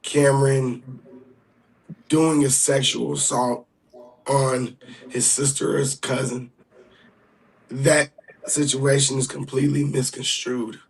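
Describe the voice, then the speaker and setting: A man speaking as a livestream guest, explaining carefully and haltingly, often clearing his throat. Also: medium pitch, level tone, rough recording.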